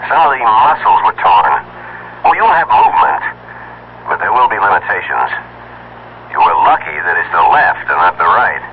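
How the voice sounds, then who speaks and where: An American actor playing a frank, folksy country doctor in an old-time radio drama, speaking through a telephone filter. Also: male voice, deep voice, sing-song, normal speed, long pauses. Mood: frank, genial. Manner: folksy, matter-of-fact. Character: frank, steady small-town country doctor. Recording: thin telephone-like sound, faint hum.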